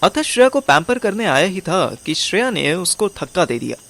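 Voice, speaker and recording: A man's voice: sits high, big pitch swings, a little fast, creaky, a bit bright, slight background noise, heavily acted. A man narrating and playing a scheming, overweight amateur detective in an audio drama, with a wry, colloquial manner. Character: overweight, scheming male amateur detective telling his story. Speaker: narrator and lead character.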